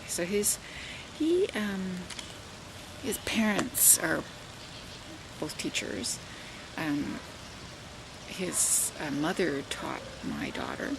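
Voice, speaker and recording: Older canadian lady rambling on her vlog, southern ontario accent, bit of fry, lowish voice, noisy background. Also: very animated, takes it slowly, long pauses. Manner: rambling, many fillers and asides. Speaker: vlogger and teacher. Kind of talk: casual chat to camera. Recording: home recording with clear background noise.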